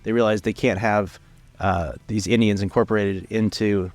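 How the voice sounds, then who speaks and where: A young man talking casually as an interview guest, relaxed and rambling, with many fillers and restarts. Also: fairly low pitch, moderate pace, no room sound. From United States, raised in Venezuela. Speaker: filmmaker guest. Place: studio.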